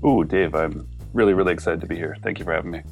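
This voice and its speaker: A man's voice: low voice, quick pace, vocal fry, narrow, tinny audio, soft background music. A man with a Canadian accent chatting casually and informally as a podcast interview guest, telling his story in a loose, rambling way. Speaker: podcast guest, online entrepreneur.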